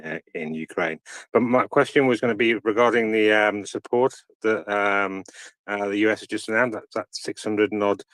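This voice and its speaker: A man's voice: fairly low pitch, no room sound. A man taking part in a casual live discussion, commenting and putting questions to the host. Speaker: participant asking questions.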